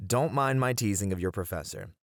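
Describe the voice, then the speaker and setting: A man's voice: on the low side, brisk, dry recording, studio quality, natural voice. A man playing a playful, theatrical colleague at a college who loves teasing, with a dramatic, flowery manner in an ASMR roleplay. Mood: teasing, amused.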